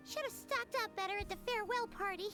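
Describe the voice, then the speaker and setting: A woman's voice: very high-pitched, normal speed, bright, forceful tone, faint music behind. A woman voicing a small, chirpy, childlike fairy companion in a video game, food-loving and dramatic. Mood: theatrical, musing. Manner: speaks of self in third person.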